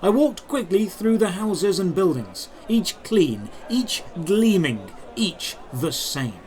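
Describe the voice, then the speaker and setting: A man's voice: sits high, very animated, slow, a little mellow. A man giving dramatic, scripted first-person narration for a fantasy audio drama.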